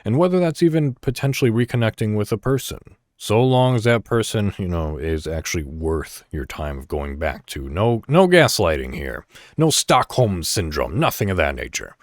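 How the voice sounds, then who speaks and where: Deep-voiced man with swooping, expressive intonation. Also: moderate pace, no echo, clean studio sound. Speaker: podcast host. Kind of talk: reflective solo monologue addressed to listeners.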